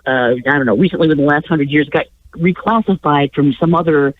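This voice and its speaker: A woman's voice: deep voice, no echo. Stuffy-nosed woman on a tinny phone line. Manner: rambling, halting restarts, chuckles often. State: stuffy nose from a cold. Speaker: radio show co-host. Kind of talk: casual radio chat.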